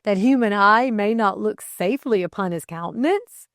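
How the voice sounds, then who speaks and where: A woman voicing an imperious, teasing gentleman, master of the house, in a declamatory audiobook style. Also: mid-range voice, animated, moderate pace, dry recording, studio quality. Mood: bantering. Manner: pompous, declamatory, bantering.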